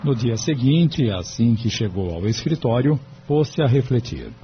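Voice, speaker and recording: A man narrates an audio drama from a script in a medium-pitched voice. The recording is dull, with faint noise behind it. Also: very animated, a little slow.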